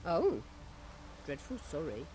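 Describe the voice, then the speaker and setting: A woman playing a sharp-tongued young village woman in a fantasy video game, blunt and earthy. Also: low voice, huge pitch swings, takes it slowly, full character voice, low-quality recording. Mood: surprised.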